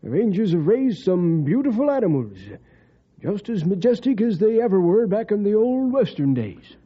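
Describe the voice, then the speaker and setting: An actor playing a boosterish senator with a husky voice and enthusiastic formality in an old-time radio drama. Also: male voice, high-pitched, big pitch swings, normal speed, heavily acted. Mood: proud, enthusiastic. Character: boosterish, talkative male senator. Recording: dull old radio recording.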